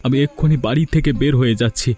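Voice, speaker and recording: A man's voice: medium pitch, rapid-fire, warm tone, close to an everyday voice. A man voicing a poor, put-upon young village husband in a cartoon, pleading and defensive. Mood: hurt, resigned. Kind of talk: scripted cartoon dialogue. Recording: music behind the voice.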